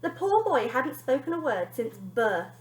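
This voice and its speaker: High, animated woman reading a storybook. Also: a little slow. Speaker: teacher reading aloud. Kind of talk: expressive children's storybook narration. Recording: echoey room.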